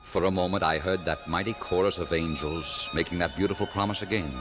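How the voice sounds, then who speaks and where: A man acting an earnest, humble innkeeper in an old-time radio drama, speaking solemnly and deliberately. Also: low-pitched, fairly even intonation, lightly acted. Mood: hopeful. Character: earnest, humble, hopeful innkeeper uncle in ancient Bethlehem. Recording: vintage radio broadcast, music behind.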